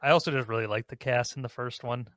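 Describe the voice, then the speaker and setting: A man hosts a relaxed podcast panel in an informal, conversational way. His voice sits at a middling pitch and is recorded dry, with very little room sound. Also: fast talker, no echo. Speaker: host of a ranking podcast. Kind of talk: casual panel discussion.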